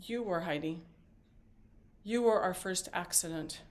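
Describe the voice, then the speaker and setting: A woman acting a talkative, evasive and devoted mother in a stage play, with a halting, theatrical delivery. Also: lowish voice, close to an everyday voice. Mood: earnest, devoted. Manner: halting, rambling, theatrical emphasis. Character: talkative, evasive mother and grandmother, fiercely devoted, just paroled.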